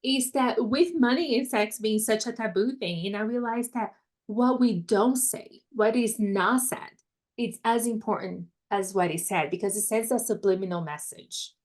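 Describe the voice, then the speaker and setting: A woman's voice: a little high, normal speed, a little mellow. A woman from Brazil with a Brazilian accent, a money mindset coach who tells her story as a podcast guest and laughs often. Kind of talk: podcast interview, personal story.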